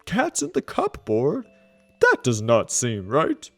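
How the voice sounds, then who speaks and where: A man's voice: mid-range voice, wildly swooping pitch, slow pace, dry recording, faint music behind, full character voice. A man voicing a kindly, gullible knight in a children's storybook, hearty and proper. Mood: puzzled, hearty.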